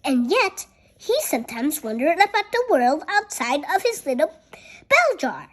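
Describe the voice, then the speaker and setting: High voice, animated pitch, storybook read-aloud, halting delivery, childlike casual asides. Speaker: storyteller reading a picture book.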